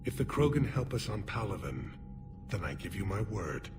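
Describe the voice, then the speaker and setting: A man's voice: on the low side, big pitch swings, normal speed. An actor playing a weary, grave male alien military leader, speaking solemnly and with dignity over game music. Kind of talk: video game voice acting.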